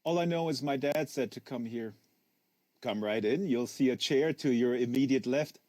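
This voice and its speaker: A reader plays an oddly formal man who makes conversation his profession. He is insistent, probing and unctuous, choosing words with deliberate, mannered care. The voice sits low-to-middling in a dry recording. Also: mellow, no echo, lightly acted.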